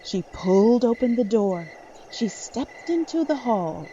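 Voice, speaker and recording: Female drama narrator, medium pitch, animated phrasing, scripted read, slightly lo-fi with faint night noise. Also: unhurried.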